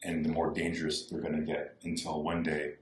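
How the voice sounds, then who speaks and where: A man's voice: very deep voice, completely flat delivery, fairly quick, roomy sound. A man talking as a political candidate on a podcast, earnest and repeating his points for emphasis. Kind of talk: podcast discussion.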